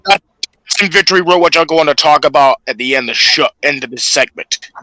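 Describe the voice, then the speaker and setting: A man's voice: a little high, a little fast, pushed and bright, no echo, rough recording. A man co-hosting a podcast, giving his opinions in casual chat. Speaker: podcast co-host.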